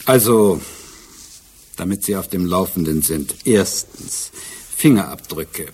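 An actor playing a brisk, wry police inspector in a German radio drama, dry and methodical in manner. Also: male voice, low voice, darkish tone, husky, exaggerated voice.

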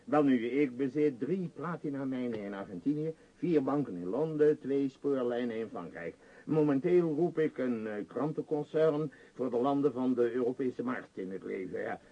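A man's voice: mid-range voice, expressive, moderate pace, hardly any pauses, breathy edge. A man acting an imperious, absent-minded billionaire tycoon in a radio play, rambling and hesitant in a self-important way. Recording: muffled, dull radio play recording.

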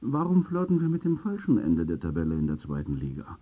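A man's voice: deep voice, huge pitch swings, brisk, narrow, tinny audio, heavily acted. An actor playing a hard-nosed, middle-aged club chairman in a radio drama, talking bluntly and with command. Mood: blunt, impatient.

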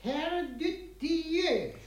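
An actor in a 1950s radio play playing a stuffy, suspicious office director, pompous and hesitant, in an echoing studio recording. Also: male voice, very high-pitched, big pitch swings, extremely slow, exaggerated voice. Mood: uneasy, suspicious.

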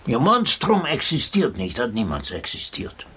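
An actor playing an indignant, sceptical gentleman in a radio play, reproachful, proper and emphatic in his speech. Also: medium pitch, huge pitch swings, normal speed, a little hiss or hum. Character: indignant, sceptical gentleman scolding an amateur sleuth.

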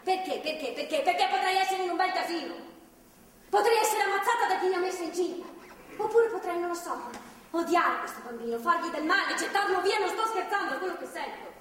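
A woman's voice: very high-pitched, expressive, a little fast, a bit bright. An actress playing a defiant, desperate young woman held captive, in theatrical drama with a bitter, confrontational delivery. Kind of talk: theatrical stage and radio drama acting. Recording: distant, echoey hall, faint noise.

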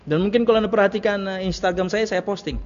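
A man's voice: sits high, fairly even intonation, fast talker. A man giving an Islamic lecture to a congregation, conversational, repeating phrases and asking rhetorical questions. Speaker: Islamic preacher. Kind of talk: religious lecture to a congregation, with anecdotes. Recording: muffled, reverberant hall recording.

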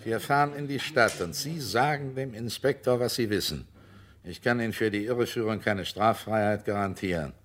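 A persistent, stern investigator played by a man in a radio crime play. His voice sits low, and he speaks formally and deliberately, always insisting. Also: a bit bright, close to an everyday voice. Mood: firm. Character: stern, persistent male investigator pressing a witness. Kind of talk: German radio crime drama acting.

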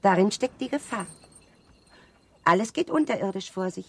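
A woman's voice: mid-range voice, lively intonation, moderate pace, vocal fry, husky. An actress playing a knowing woman in a German radio drama, explaining things emphatically. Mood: ominous, explaining.

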